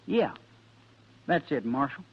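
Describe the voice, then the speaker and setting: An actor playing a proud, stubborn sixteen-year-old cowboy in a radio Western, with terse, folksy frontier talk. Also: male voice, mid-range voice, sing-song, slow pace, husky, full character voice. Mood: determined. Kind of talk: 1950s radio Western acting. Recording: dull vintage radio recording with music.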